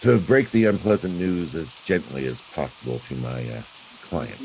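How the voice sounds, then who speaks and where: An actor playing an impatient, sardonic private detective in a brusque, slangy 1940s radio drama style. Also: male voice, sits low, very animated, moderate pace, gravelly, a little mellow, a little hiss or hum, full character voice, rough recording.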